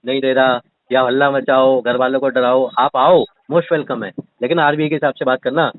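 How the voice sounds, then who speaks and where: A man's voice: mid-range voice, level tone, normal speed, dry recording. A man arguing on a phone call, teasing and defiant, sometimes pleading in mock fashion. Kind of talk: argumentative phone conversation. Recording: muffled, band-limited phone call recording.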